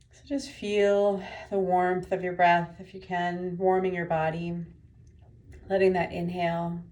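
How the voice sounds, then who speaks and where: Low-pitched woman guiding a meditation. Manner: gentle, unhurried instructing with pauses. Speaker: meditation teacher. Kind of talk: guided meditation.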